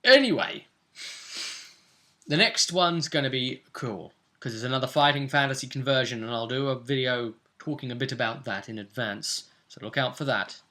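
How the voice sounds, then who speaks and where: A man with a clearly bright voice at an average pitch. He talks casually as a game master and show host, going back over a tabletop roleplay session. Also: expressive, normal speed.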